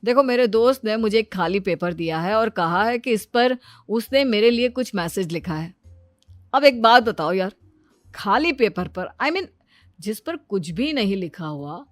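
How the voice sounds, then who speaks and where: A woman's voice: mid-range voice, expressive, measured pace, dry recording, faint music behind, pitched below the performer's own voice, close to an everyday voice. A woman voicing a puzzled, admiring young husband in a story, casual and full of questions. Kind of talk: acted moral-story dialogue.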